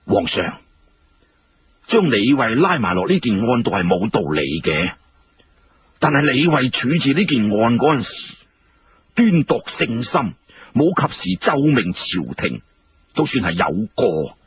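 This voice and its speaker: Man acting an insistent, animated court official. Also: medium pitch, a little slow, long pauses. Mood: earnest, critical. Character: earnest, formal court official arguing carefully before his emperor. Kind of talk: Cantonese historical storytelling dialogue. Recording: muffled, clearly noisy radio-like recording.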